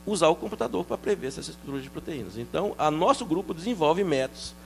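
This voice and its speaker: A middle-aged man from France, a researcher explaining his work in a TV interview, quick and animated, with many restarts and fillers. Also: on the high side, creaky, a little hiss or hum. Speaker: researcher guest.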